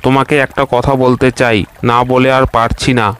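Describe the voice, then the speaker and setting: Cartoon crocodile, male, lazy, meek, mid-pitched and flat; man voicing. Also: moderate pace, over music, natural voice. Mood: easygoing. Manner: easygoing and meek. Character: lazy, plump, soft-hearted male crocodile. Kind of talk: cartoon voice acting.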